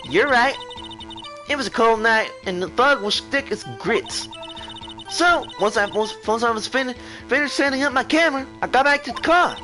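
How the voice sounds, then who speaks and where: Game witness, female, played by a man: high-pitched, folksy, indignant, blustering, swoops around over music. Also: lively intonation, moderate pace. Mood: defensive. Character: drawling, defensive young woman witness, indignant and flustered. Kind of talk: video game character voice-over.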